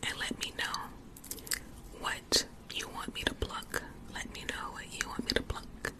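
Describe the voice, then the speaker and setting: A woman whispering softly and soothingly in ASMR style, repeating gentle phrases close to the microphone. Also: a little slow. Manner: soft, soothing, repetitive whisper. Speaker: ASMR livestream host.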